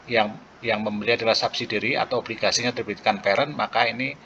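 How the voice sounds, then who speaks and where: Low-voiced male lecturer, muffled audio. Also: fairly even intonation, sharp tone, slight background noise. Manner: halting, with pauses mid-phrase. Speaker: accounting lecturer. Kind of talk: explanatory lecture walking through worked journal entries.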